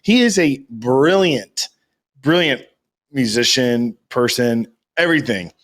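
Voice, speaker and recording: A man's voice: medium pitch, expressive, slow pace, a bit bright. An American man chatting casually as a podcast co-host, rambling and emphatic, with slangy talk and free swearing.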